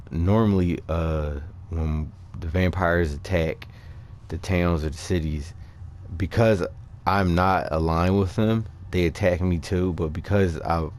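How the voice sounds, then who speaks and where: A man with a low voice delivers loose, unscripted gaming commentary. He thinks out loud, halting and picking up again as he explains things to his viewers. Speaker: gaming video creator. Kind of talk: casual unscripted game commentary.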